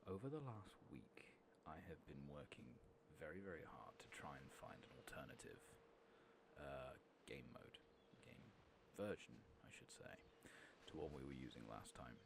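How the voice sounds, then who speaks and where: A man giving soft-spoken ASMR game commentary, hushed and unhurried, often slipping into a whisper. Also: expressive, background noise. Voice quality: gravelly, breathy low voice with whispering. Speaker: streamer and host. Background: video game ambience.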